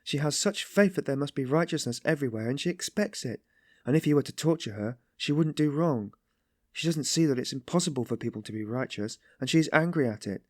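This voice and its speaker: Guy doing a distressed young woman in a storybook, meek but passionate, high voice, breathless pleading. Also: moderate pace, darkish tone, dry recording, studio quality, close to an everyday voice. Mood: impassioned pleading. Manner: pleading, breathless exclamations and repetitions.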